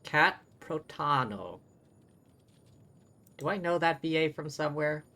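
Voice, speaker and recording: A man's voice: a little high, lively intonation, takes it slowly, bright, forceful tone. A man livestreaming a game with casual commentary, chatty and thinking aloud. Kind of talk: casual live stream commentary.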